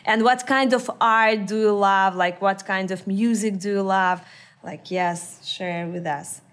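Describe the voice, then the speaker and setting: A podcast host, a woman with a Russian accent, talks in an effusive, halting way and reaches for words with fillers. Her pitch sits in an ordinary middle range. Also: steady tone, fairly bright tone. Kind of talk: casual interview chat.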